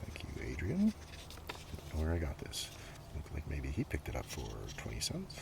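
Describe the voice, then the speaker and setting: Super low voiced guy doing asmr outside, mutters and half whispers, lots of background noise. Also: vocal fry. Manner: unhurried, muttering asides, often drifting into whisper. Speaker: ASMR video host. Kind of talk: ASMR, softly rambling show-and-tell. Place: outdoors. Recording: noisy outdoor recording, breeze and handling sounds. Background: steady ambient noise, breeze, writing and page sounds.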